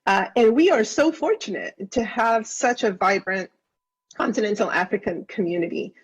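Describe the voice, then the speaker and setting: A woman's voice: medium pitch, expressive, normal speed, husky, poor audio. A woman originally from Venezuela, a councilmember giving earnest, effusive remarks at a council session and often restarting her phrases. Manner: earnest, effusive, repeats words when she restarts. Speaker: county councilmember.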